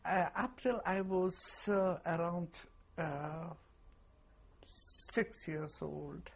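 An elderly woman, giving testimony slowly and haltingly, with many pauses. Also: on the low side, gravelly, husky. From Poland. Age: 80s. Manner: slow, halting, pausing with fillers. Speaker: interviewee. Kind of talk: oral history testimony.

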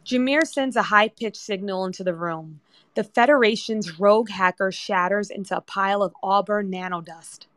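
A woman's voice: medium pitch, moderate pace, fairly bright tone, no echo. A woman narrator reading screenplay directions as scripted narration. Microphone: remote audio chat app.

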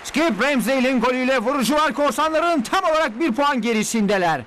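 An actor voicing an excitable male sports announcer in a dubbed cartoon, calling a futuristic arena match. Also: extremely high pitch, brisk, a little hiss or hum. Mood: excited. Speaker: sports commentator.

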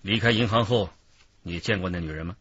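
An actor playing a stern, pressing police detective chief in a radio drama. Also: male voice, deep voice, fairly quick, fairly bright tone, husky, no echo, highs cut off. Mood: stern, probing. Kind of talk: Chinese radio drama acting.

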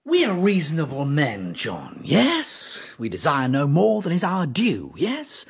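Sly medieval king, breathy, slow, swooping pitch, insinuating, historical radio drama. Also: wildly swooping pitch, heavily acted. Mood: persuasive, insinuating. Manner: insinuating, punctuates points with a questioning yes. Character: wily, smooth-talking medieval French king, scheming.

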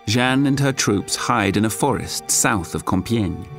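A man acting as narrator of an audio drama, a solemn chronicler telling a war story in measured, dramatic phrases. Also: on the low side, expressive, soft background music.

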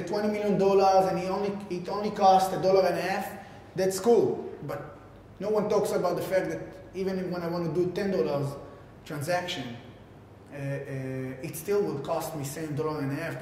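Guy doing a halting tech pitch, super echoey reverby room, faint rumble. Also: mellow. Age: 30s. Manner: halting, filler-heavy delivery with repeated words. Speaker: tech company founder presenting a product. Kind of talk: informal keynote explanation to camera.

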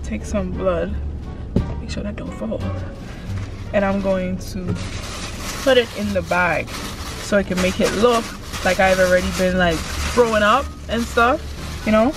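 A woman's voice: medium pitch, fairly even intonation, unhurried, pauses often, over music, low-quality recording. A young woman chatting casually to a dashboard camera inside a car, upbeat and repeating her catchphrases. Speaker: vlogger pranking her fiancé.

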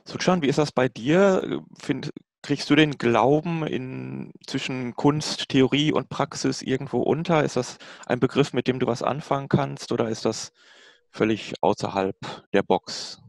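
A man's voice: mid-range voice, measured pace, creaky, no room sound. A man moderating a discussion in a casual, conversational style.

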